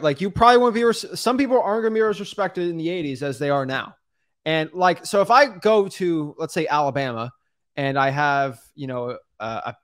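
A man's voice: on the high side, a bit bright. A young man co-hosting a casual studio podcast, talking loosely with lots of fillers and addressing listeners as guys. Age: young adult. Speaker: co-host. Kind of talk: casual podcast coaching talk. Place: studio with backdrop. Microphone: studio mic on boom arm.

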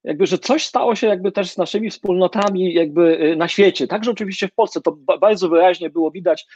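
A man's voice: high voice, fairly quick, a little mellow, breathy edge, dry recording. A middle-aged man interviewing a guest over a video call, hesitant with many fillers and restarts. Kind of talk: hesitant literary interview questions. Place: home room with bookshelves. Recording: dull lo-fi video call audio.

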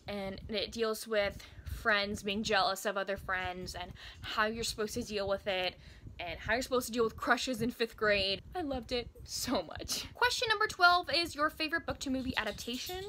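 Bright, high-voiced young American woman. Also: normal speed, a little hiss or hum. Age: 20s. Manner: gushing, emphatic, expressive. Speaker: book video blogger. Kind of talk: casual chat to camera. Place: small indoor room by bookshelves.

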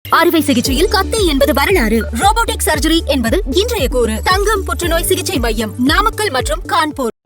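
A woman's voice: very high-pitched, big pitch swings, a little fast. A woman announcer reading a scripted promotional slogan for an advertisement. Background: loud music.

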